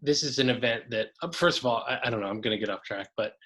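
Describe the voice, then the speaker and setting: A man's voice: mid-range voice, fast, sharp tone, breathy edge. A man, a coach and podcast guest, talking casually and enthusiastically, often restarting his sentences.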